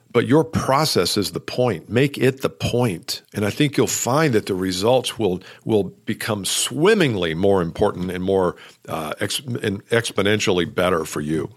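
A middle-aged man hosting a solo podcast, talking loudly and emphatically in a coaching tone. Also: sits low. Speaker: podcast host.

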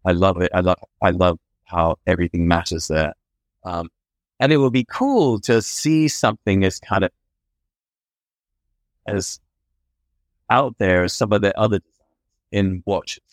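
A man with a fairly low voice speaks as a guest on a podcast. He talks at length in an enthusiastic, rambling way. The sound is dry and close-miked. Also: expressive, a little slow, long pauses, no room sound. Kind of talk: casual interview chat.

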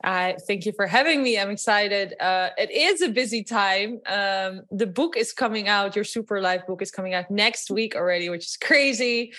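A woman from the Netherlands speaks English with a clear Dutch accent, her voice sitting at an ordinary middle pitch. She talks casually and chattily as an interview guest on a remote call, filling pauses with ums and breaking into easy laughs. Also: a bit bright. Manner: chatty, laughs often, frequent fillers. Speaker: guest, company co-founder.

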